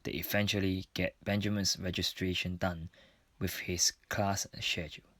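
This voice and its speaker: A man's voice: sits low, level tone, normal speed, a bit bright, no room sound. A man reading scripted narration as the storyteller of a radio drama.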